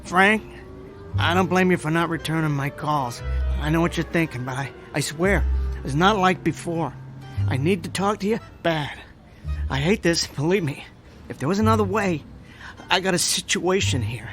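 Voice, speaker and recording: A man's voice: a little high, moderate pace, a bit bright, soft background music, natural voice. An actor playing a down-on-his-luck small-time hustler in a radio drama, a nervous, stammering, wheedling talker. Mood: desperate, pleading.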